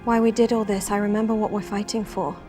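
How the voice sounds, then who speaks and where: A woman's voice: mid-range voice, flat delivery, fast talker, mellow, soft background music, close to an everyday voice. A woman in her thirties playing a tender, earnest scientist agent in a TV drama table read. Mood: tearful, tender.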